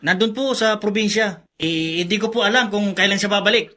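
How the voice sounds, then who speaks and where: A man's voice: high-pitched, fairly even intonation, brisk, sharp tone. An actor playing a meek, deferential young male house caretaker, polite and hesitant, in a radio drama.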